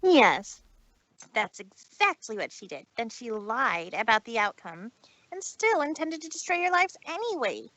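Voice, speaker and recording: A woman voicing a proud, self-important female pony in a fan fiction read-aloud, speaking deliberately and indignantly. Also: fairly high pitch, sing-song, pushed and bright, no room sound, heavily acted, rough recording.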